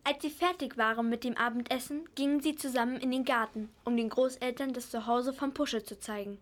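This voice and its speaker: A woman's voice: a little high, steady tone, a little fast. A young female narrator reading a scripted story for an audio play.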